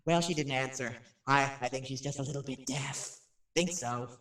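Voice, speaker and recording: A man's voice: mid-range voice, normal speed, fairly bright tone, lightly acted. An actor playing a nervous, chatty radio personality who rambles and stammers his way through a haunted house in a radio drama. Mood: nervous chatter. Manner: jittery, stammering, rambling, self-interrupting. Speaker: radio host. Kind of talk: radio drama acting, rambling live monologue. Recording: roomy, distant microphone.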